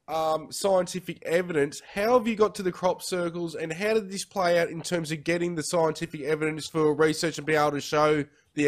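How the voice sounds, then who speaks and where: High-voiced host with a broad Australian accent. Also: male voice. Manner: friendly, chatty, laughs easily. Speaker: podcast host. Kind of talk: casual interview.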